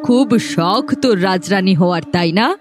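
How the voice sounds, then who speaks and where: A woman's voice: mid-range voice, normal speed, lightly acted. A woman playing a cruel, scheming middle-aged stepmother in a fairy-tale cartoon, scolding and menacing. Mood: angry, menacing. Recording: music behind the voice.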